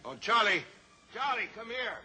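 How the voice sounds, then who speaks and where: A flustered, blustering sheriff. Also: male voice, very high-pitched, expressive, normal speed, bright, forceful tone, exaggerated voice. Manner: blustering, exasperated. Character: flustered small-town sheriff, middle-aged man. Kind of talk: 1950s radio drama acting. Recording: old radio recording with music.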